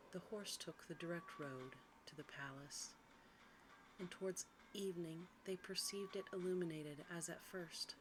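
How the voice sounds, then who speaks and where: A woman storyteller giving a soft, measured ASMR narration of a bedtime story. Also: low voice, noisy background. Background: music.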